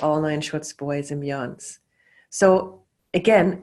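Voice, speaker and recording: A middle-aged woman, a facilitator talking loosely over a video call, full of fillers and restarts. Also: deep voice. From Austria. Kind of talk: casual teaching talk.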